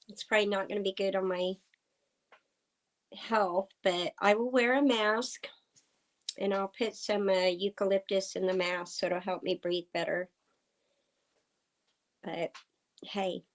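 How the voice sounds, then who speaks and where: A woman from Oklahoma hosting a casual livestream chat with viewers. Her voice is slightly hoarse. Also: medium pitch, fairly even intonation, a little mellow. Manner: slow, chatty, talking to viewers. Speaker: livestream host. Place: farm home indoors. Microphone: computer streaming setup.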